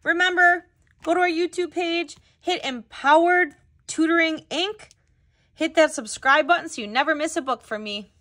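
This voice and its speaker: A woman hosting a children's read-aloud as a tutor, telling the story with clear, expressive phrasing. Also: very high-pitched, normal speed.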